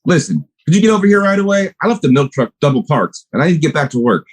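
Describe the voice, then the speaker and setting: A man's voice: a little high, very animated, fast, slightly rough. A man playing a plainspoken, matter-of-fact milkman and part-time partner in a radio play. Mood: deadpan.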